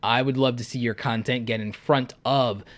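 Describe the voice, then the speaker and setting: Guy on a live stream, sick and losing his voice, lots of ums, mid-pitched. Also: steady tone, moderate pace. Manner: frank, thinking aloud with many ums and restarts. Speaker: YouTube creator hosting live Q&A and channel reviews. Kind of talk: casual live-stream advice.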